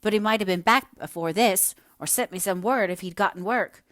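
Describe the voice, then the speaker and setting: A woman's voice: mid-range voice, a little fast, fairly bright tone, dry recording, clean studio sound, pitched above the performer's own voice, close to an everyday voice. A woman voicing a sickly, bitter poor mother with complaining, emphatic dialect speech, in a storybook reading. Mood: querulous.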